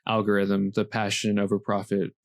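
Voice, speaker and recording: A man hosting a podcast interview, relaxed and encouraging, poking fun at his own questions. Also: on the low side, moderate pace, no room sound, studio quality. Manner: relaxed, encouraging, self-deprecating. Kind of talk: casual interview chat.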